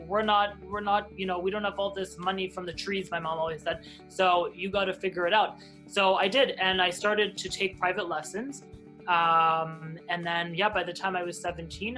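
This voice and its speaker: A man's voice: high voice, pushed and bright, low-quality recording. A Hebrew-man, born in Israel, telling his story as an airline captain guest in a casual interview, chatty with many restarts.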